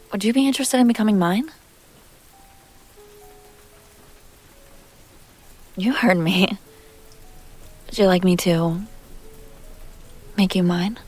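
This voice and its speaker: Woman playing a breathy, seductive vampire heiress. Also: medium pitch. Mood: seductive, direct. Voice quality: soft, breathy, often dropping to a whisper. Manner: teasing, breathy asides, genteel and deliberate phrasing. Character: seductive, refined vampire heiress, coy and possessive. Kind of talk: intimate roleplay audio drama addressed to the listener.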